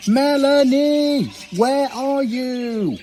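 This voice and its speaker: A man voicing an excitable, curious little girl in a children's storybook. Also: very high-pitched, drawn-out words, voice pushed up, exaggerated voice. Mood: excited, searching. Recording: long reverb, faint background noise.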